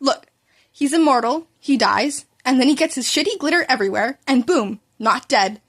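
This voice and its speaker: Woman as grumpy, blunt teenage boy for audio story, high voice, exasperated. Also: animated, normal speed, fairly bright tone, full character voice. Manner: grumbling, blunt. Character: irritable, foul-mouthed, exasperated teenage boy.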